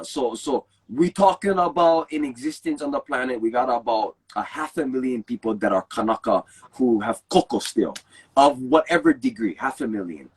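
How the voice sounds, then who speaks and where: A man's voice: mid-range voice, slightly rough, low-quality recording. A young man from Hawaii with a Hawaiian Pidgin accent, speaking earnestly in a casual chat. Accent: Hawaiian Pidgin English. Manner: earnest, animated speech in Pidgin phrasing. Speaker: guest.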